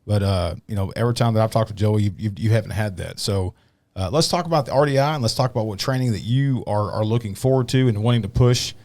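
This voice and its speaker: A man's voice: fairly low pitch, fast talker, darkish tone. A man hosting a podcast, talking in a loose, rambling way and swearing freely. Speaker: podcast host. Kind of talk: casual podcast conversation.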